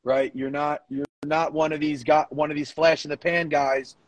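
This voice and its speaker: A man's voice: fairly high pitch, little pitch movement, moderate pace, dry recording. A man co-hosting a casual sports podcast as a coach, emphatic and given to swearing and repeating his phrases. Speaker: podcast co-host, powerlifting coach.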